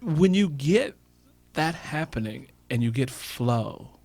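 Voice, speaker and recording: A man's voice: medium pitch, expressive, slow pace, a little mellow, dry recording, studio quality. A man speaking as a radio interview guest in a motivational style, emphatic and repeating phrases for effect. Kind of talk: motivational talk on a radio show.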